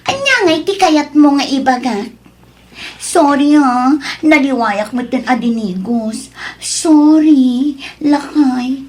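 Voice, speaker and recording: A woman playing a demanding, selfish mistress in an Ilocano radio drama, coaxing and haughty, quick to snap. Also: sits high, darkish tone. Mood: defiant.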